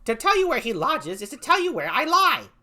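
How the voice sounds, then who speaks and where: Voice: high-pitched, wildly swooping pitch, brisk, sharp tone, pitched above the performer's own voice, exaggerated voice. A reader voicing a punning servant clown. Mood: playful. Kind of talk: reading a play aloud.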